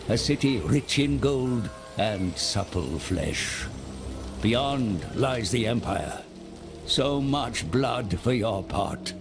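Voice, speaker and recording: A scheming, servile advisor briefing his lord in a video game. His delivery is solemn, slow and coaxing at a medium pitch, with clear noise behind him. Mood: coaxing counsel.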